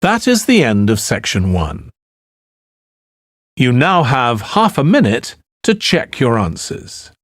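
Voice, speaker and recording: A man reading scripted test instructions as an exam announcer, formal and deliberate. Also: medium pitch, wildly swooping pitch, dry recording, clean studio sound.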